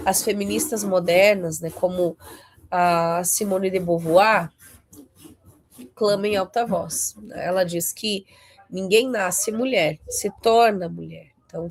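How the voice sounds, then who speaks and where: A woman's voice: fairly low pitch, a little mellow. A woman, giving a conversational talk to fellow Christians, often tagging her sentences with a questioning "né?" and leaning into emphatic rises. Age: 40s.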